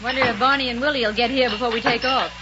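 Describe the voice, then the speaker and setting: An actress playing a firm, caring young space lieutenant in a 1930s radio serial. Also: female voice, fairly high pitch, fairly even intonation, racing, lightly acted. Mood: firm, concerned. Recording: muffled, noisy 1930s radio recording.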